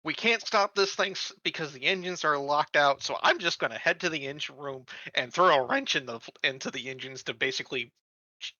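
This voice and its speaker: A man with a higher, very bright and forceful voice talks casually as a tabletop game player. His intonation is animated, on a slightly muffled, dry recording. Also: fairly quick, dry recording.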